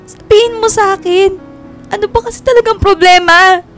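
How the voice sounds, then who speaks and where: A woman's voice: very high voice, steady tone, moderate pace, fairly bright tone. An actress playing a devoted, clingy young girlfriend in a radio drama, emotional and insistent in her pleading. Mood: tearful pleading. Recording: music bed under the voice.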